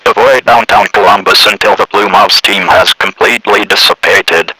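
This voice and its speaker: A low, robotic man's voice reads formal emergency bulletins. The tone is intensely bright and hard-edged, with a rough, husky rasp. It stays impersonal and scripted throughout. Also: fairly even intonation, moderate pace, low-quality recording. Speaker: emergency alert announcer. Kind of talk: scripted civil emergency message.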